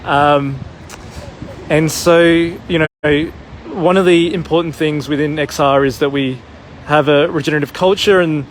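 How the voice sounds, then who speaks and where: Rambling man, higher voice. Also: measured pace, a little hiss or hum, low-quality recording. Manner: relaxed, rambling with many fillers. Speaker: livestream host at a protest. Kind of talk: casual on-the-spot talk. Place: outdoor city square. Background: live music nearby.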